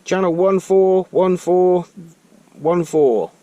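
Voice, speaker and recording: Man, casual, over loud radio hiss. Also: sits high, slow. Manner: hesitant, rambling, informal. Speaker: vlogger demonstrating a CB radio. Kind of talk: casual hands-on demonstration to viewers. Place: inside a car. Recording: noisy car interior, radio hiss. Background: loud radio hiss and static.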